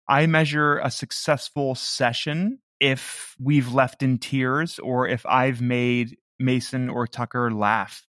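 A man's voice: medium pitch, a bit bright, no room sound. An American man chatting in an interview in a mid-range voice. He comes across as earnest and self-deprecating, and the sound is very dry. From Midwestern United States. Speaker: comedy podcast creator. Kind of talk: casual interview conversation.

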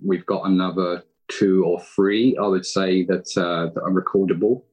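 A man's voice: low voice, level tone, normal speed, a little mellow, breathy edge, rough recording. A man with a British accent chatting casually as an interview guest. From United Kingdom. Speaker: podcast writer and guest.

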